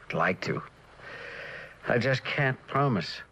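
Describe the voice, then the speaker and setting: A man's voice: mid-range voice, lively intonation, slow pace, a bit bright, breathy edge, heavily acted. An actor playing an earnest, weary husband devoted to his work and evasive about it, in a mid-century television drama. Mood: apologetic, evasive. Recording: noisy old television drama soundtrack.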